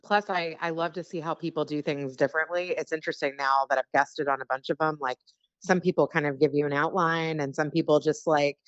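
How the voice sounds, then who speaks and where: A woman's voice: low voice, fairly even intonation, brisk. Casting: female, American, forties to fifties, informal chatty podcaster on a dull-sounding phone call, no room echo. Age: 40s to 50s. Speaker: podcast guest and fellow podcaster. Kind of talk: casual phone chat. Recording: muffled phone call, dry.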